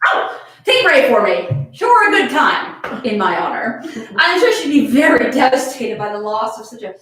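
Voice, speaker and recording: A woman's voice: fairly high pitch, very animated, normal speed, fairly bright tone. Woman acting a theatrical, scatterbrained young woman, echoey. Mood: playful, scheming. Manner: theatrical, flippant. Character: brash, playful, scatterbrained young blonde woman. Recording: very echoey room, distant from mic.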